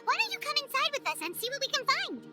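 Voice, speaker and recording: A woman voicing a tiny, excitable fairy companion in a game, squeaky and childlike, chatty and blunt. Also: fast talker. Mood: eager, concerned. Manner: exclamatory, bubbly, speaks bluntly. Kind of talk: anime-style game dialogue. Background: background music.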